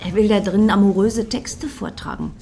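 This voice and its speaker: A woman's voice: mid-range voice, fairly even intonation, brisk, warm tone, slight background noise, natural voice. An actress playing an impatient, self-assured, defiant woman in a satirical radio play, brusque and assertive. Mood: declaiming, brusque.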